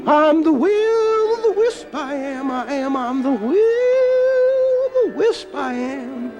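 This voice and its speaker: A man voicing a tricky, boastful male rabbit in a storybook tale, with a high, sing-song squealing voice. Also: sing-song, unhurried, creaky, dull audio, full character voice. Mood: spooky boasting. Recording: guitar playing behind.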